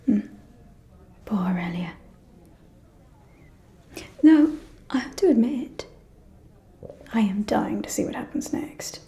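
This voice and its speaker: A woman playing a catty, gossipy aristocratic vampire socialite in an ASMR roleplay, speaking in a soft, breathy, conspiratorial whisper with drawn-out, teasing delivery. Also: medium pitch, animated. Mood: gleeful gossip.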